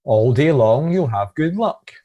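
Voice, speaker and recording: A man's voice: medium pitch, expressive, measured pace, mellow, lo-fi sound. A man reading a scripted devotional reflection as one of a church's readers.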